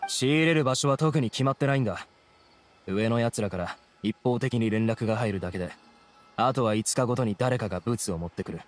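Rough, mid-pitched small-time smuggler played by a man. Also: fairly quick, soft background music, natural voice. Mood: resigned. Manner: rough, casual. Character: small-time male smuggler, shifty and defeatist. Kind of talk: Japanese video game voice acting.

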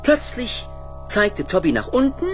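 A man narrating a children's radio play in an expressive storytelling manner. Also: high-pitched, measured pace, soft background music.

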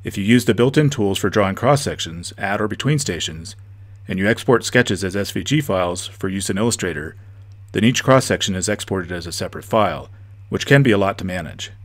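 Deep-voiced man narrating a software tutorial. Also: a little fast. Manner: steady, methodical explanation. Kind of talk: scripted instructional narration.

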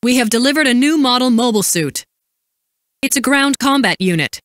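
An actress playing a composed, dutiful woman military supply officer in a video game, giving crisp, formal, commanding briefings and callouts. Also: on the high side, lively intonation, a little fast, fairly bright tone, no echo, studio quality.

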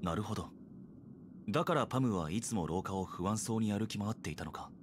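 Low, reserved young anime man, actor's performance. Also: expressive, a little fast, noisy background, natural voice. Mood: reflective. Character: reserved, serious young male companion. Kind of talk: anime game voice acting.

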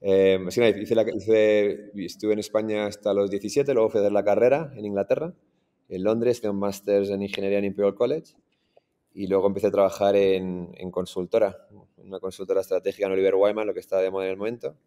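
A man in his forties with a Madrid Spanish accent, telling his story as an interview guest on a video call, relaxed and full of restarts. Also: fairly low pitch, fast talker. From Iran, raised in Madrid. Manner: relaxed, many restarts and fillers. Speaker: startup founder guest. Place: in front of a green screen, indoors.

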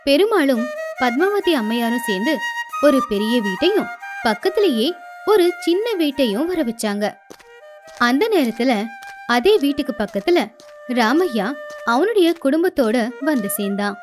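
A woman narrating a children's storybook cartoon with a high, clear voice. She tells the story steadily and simply, with flute music playing behind her. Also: big pitch swings, moderate pace, long pauses.

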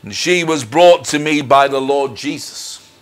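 A middle-aged man giving a personal testimony as a minister, speaking in an earnest, deliberate, measured way. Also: medium pitch. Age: middle-aged or older. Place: indoors in front of a dark curtain. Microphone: lapel mic.

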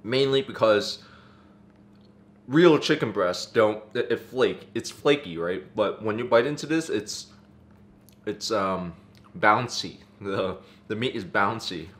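A young man in his twenties, for whom English is not his first language, talking casually to camera in a vlog, excitable and slangy, often saying "dude" and "freaking". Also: lowish voice, slow pace. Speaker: vlogger. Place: car, then kitchen, then living room, indoors.

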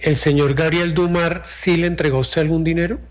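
A man's voice: on the high side, fairly even intonation, fairly quick. A man questioning a senator during a courtroom hearing. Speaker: magistrate questioning.

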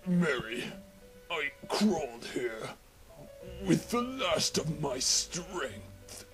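A man playing a dying old father, strained, halting and melodramatic, in a parody game dub. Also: a little high, sing-song, heavily acted. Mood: weakly pleading. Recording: game music under the voice.

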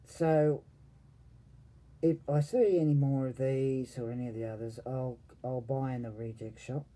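A man in his forties casually reviewing a toy at home, hesitant and often trailing off quietly, recorded on a webcam. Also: medium pitch, fairly even intonation, unhurried, poor audio. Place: home room full of toys and posters.

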